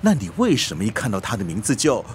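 A man's voice: on the low side, huge pitch swings, quick pace, slight background noise. A male actor in a radio drama playing a deferential, meddling, worried manservant, hesitant and fussy. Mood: curious, hesitant.

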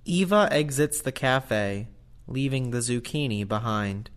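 A man's voice: medium pitch, lively intonation, a little slow. A man reading stage directions as scripted narration for an audio play.

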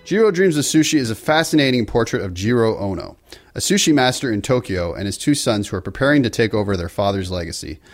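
A man hosting a podcast, reading a scripted intro and then interviewing a guest, in a brisk, friendly manner. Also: medium pitch, big pitch swings, faint music behind. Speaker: podcast host.

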